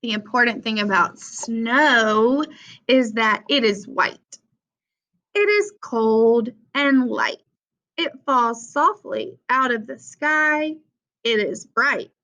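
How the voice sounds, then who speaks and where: A young adult woman reading a children's picture book aloud with simple, deliberate phrasing for young listeners. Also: fairly high pitch, expressive, sharp tone. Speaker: read-aloud presenter for children. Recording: dull, lo-fi sound.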